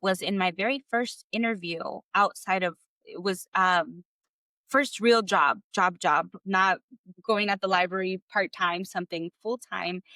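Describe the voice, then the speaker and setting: A woman from the United States who grew up speaking Spanish, a guest telling her career story in a relaxed interview, full of fillers and restarts. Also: mid-range voice, dry recording. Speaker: guest, clarity coach. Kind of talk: conversational interview.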